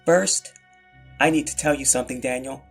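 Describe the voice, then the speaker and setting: A man's voice: mid-range voice, normal speed, creaky, husky, soft background music, pitched above the performer's own voice. A man voicing a female police detective in a horror story, commanding yet tender and guarded. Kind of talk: dramatized horror audiobook.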